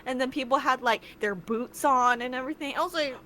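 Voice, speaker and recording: A woman's voice: sits high, a little fast, a crowd in the background. A woman co-hosting a casual podcast with her sister, stuffy with a cold, chatty and giggly. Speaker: podcast co-host. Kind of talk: casual chat between sisters.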